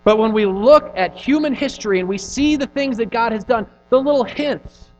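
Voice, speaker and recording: A man in his thirties preaching a sermon in an earnest, conversational way, pushing hard on some phrases and dropping to a hush on others. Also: extremely high pitch, normal speed. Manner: earnest, conversational preaching with rising and falling emphasis. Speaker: pastor. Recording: dull lo-fi recording with faint music.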